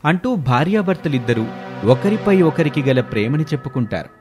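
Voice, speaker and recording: A man delivering scripted narration as the narrator of an animated moral story. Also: fairly high pitch, a little fast, music in the background.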